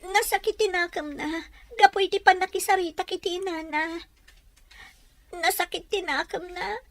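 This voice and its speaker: Very high-voiced, plaintive young woman. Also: normal speed, no room sound. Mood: tearful, hurt. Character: hurt, pleading young woman, loyal worried friend. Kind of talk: radio serial drama acting.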